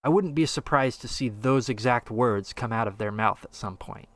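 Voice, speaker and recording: A man's voice: medium pitch, normal speed, no room sound. Man co-hosting a gaming podcast, dry sound. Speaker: podcast co-host. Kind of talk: casual opinionated discussion of a video game.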